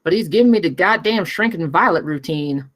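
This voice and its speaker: A woman's voice: lowish voice, lively intonation, brisk. A woman playing a brash, foul-mouthed young mechanic in a screenplay table read, casual, sarcastic and blunt. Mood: teasing, blunt.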